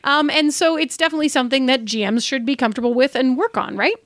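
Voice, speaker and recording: A woman's voice: high voice, fast, a bit bright, dry recording. A woman co-hosting a podcast in an informal, chatty, conversational way, close to the microphone. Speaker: podcast co-host.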